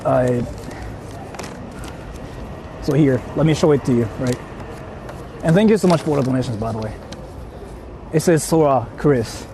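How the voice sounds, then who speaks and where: A man live-streaming in casual chat, rambling excitedly and laughing often on a portable mic. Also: mid-range voice, a little slow, long pauses. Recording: noisy street stream audio.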